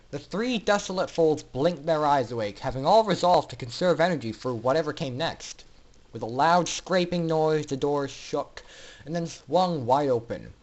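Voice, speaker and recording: A man's voice: on the high side, poor audio. A man reading a story aloud as narrator in a theatrical, dramatic style. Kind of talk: dramatic scripted fan fiction narration.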